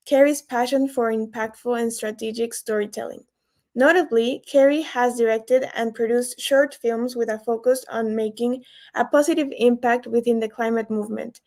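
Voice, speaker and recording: A woman moderating an online session, reading out a speaker introduction with a few stumbles. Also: on the high side. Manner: warm scripted intro, some stumbles.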